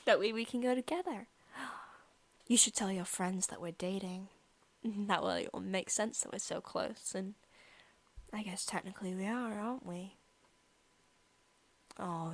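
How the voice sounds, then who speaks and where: A woman playing a possessive, sadistic young vampire in a softly spoken ASMR roleplay, teasing and casually menacing, at times slipping into whispers. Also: mid-range voice. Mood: playful, possessive.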